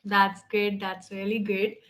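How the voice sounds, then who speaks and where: A woman's voice: mid-range voice, completely flat delivery, unhurried, fairly bright tone. Encouraging Indian woman English tutor. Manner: encouraging, repeats "okay" and "that's great". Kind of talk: tutoring session.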